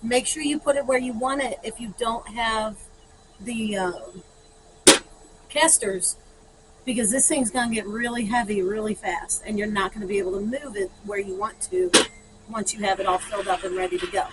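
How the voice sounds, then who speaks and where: A woman's voice: medium pitch, steady tone, normal speed, very noisy. A woman chatting casually and thinking aloud as she demonstrates for a garden vlog. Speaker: garden vlogger.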